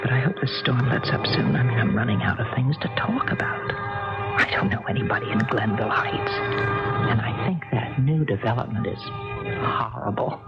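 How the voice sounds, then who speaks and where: A woman's voice: very deep voice, expressive, normal speed. A muffled vintage radio drama recording with music behind it carries a nervous, proper American woman. Her voice swings widely as she frets and minds her manners, wary and hesitant. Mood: wary inner thoughts. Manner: fretful, primly polite, hesitant. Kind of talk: 1970s radio drama acting.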